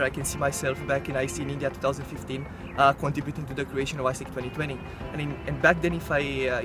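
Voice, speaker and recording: Romanian-accented young man, flat delivery, loud music. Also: mid-range voice. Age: 20s. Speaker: applicant presenting himself. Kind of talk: semi-prepared video presentation.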